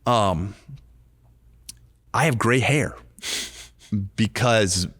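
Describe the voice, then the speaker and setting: A man's voice: fairly low pitch, very slow, fairly bright tone, no echo, studio quality. A man in his late fifties co-hosting a faith-based recovery podcast, talking earnestly and circling back over his phrases. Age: 50s. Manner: earnest, repetitive, restarts phrases. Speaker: podcast co-host, recovery ministry.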